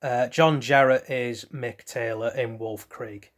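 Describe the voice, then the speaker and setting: A man's voice: mid-range voice, fairly even intonation, takes it slowly. A man hosting a casual trivia quiz, reading out questions and then rambling loosely through hesitant asides about the answers. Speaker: quiz host.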